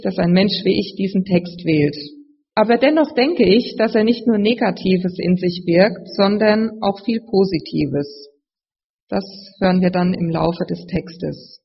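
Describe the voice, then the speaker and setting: Preacher with a slight cold, gravelly and measured, explaining scripture; muffled sound with long hall reverb. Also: medium pitch. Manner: measured, explanatory, speaking to a congregation. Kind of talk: sermon with scripture reading. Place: large reverberant room, live address.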